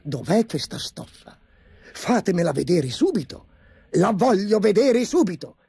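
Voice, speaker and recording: A man voicing a shrill, spoiled emperor. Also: measured pace, gravelly, husky, no room sound, full character voice. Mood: demanding. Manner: petulant, excitable shouting.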